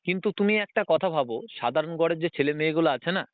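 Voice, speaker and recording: A young man speaks quickly and high, pressing his point again and again in a pleading, argumentative way. The sound is a narrow, tinny phone line. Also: animated, no echo. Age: young adult. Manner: insistent, repetitive, pleading. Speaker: job candidate calling on the phone. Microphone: phone call.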